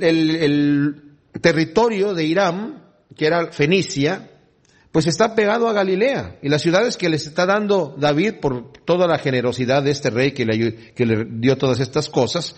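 A man with a somewhat high, husky voice teaching from the Bible. He reads the scripture passages aloud, then breaks off into relaxed, conversational explanation. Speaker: preacher.

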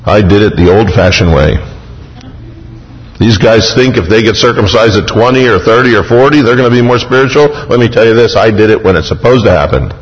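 Low-voiced pastor preaching in a reverberant hall. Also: male voice, brisk. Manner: emphatic, paraphrasing scripture in a vivid first person. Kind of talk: sermon.

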